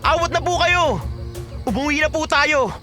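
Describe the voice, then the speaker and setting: A man's voice: very high voice, lively intonation, normal speed, pushed and bright, faint music behind, exaggerated voice. A man playing a patient, respectful young farmer son with a Filipino accent, pleading and apologetic in a radio drama. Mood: urgent pleading. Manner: polite, apologetic.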